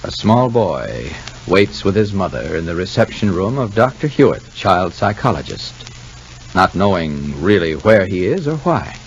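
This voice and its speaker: A man announces for 1950s radio. His scripted delivery is extremely animated in pitch, moving across a wide range. There is faint noise on the old recording. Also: measured pace, dull audio. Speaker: announcer and narrator.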